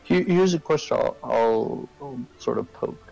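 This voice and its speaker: Gravelly man chatting casually on stream. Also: medium pitch, lively intonation, darkish tone, low-quality recording. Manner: halting, repeats words. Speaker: game streamer. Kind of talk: casual chat during a game stream. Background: video game music.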